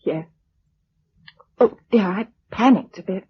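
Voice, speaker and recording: A woman's voice: medium pitch, takes it slowly, slightly rough. An actress with an English accent playing an anxious, tender woman surviving a catastrophe in a vintage radio drama, with an emotive delivery. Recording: muffled vintage radio recording.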